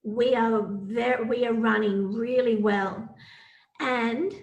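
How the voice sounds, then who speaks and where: A woman with a fairly high voice presents informally to prospective students. She is encouraging and stresses key points by repeating them. The sound is strongly echoey and roomy. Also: slow. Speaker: university college representative. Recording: roomy, echoing voice pickup.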